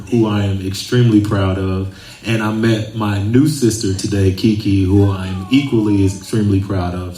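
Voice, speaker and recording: Man, low voice, articulate and enthusiastic therapist type, room echo. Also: a little mellow. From Sacramento, California. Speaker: psychotherapist. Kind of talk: self-introduction at a live event. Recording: echoey room.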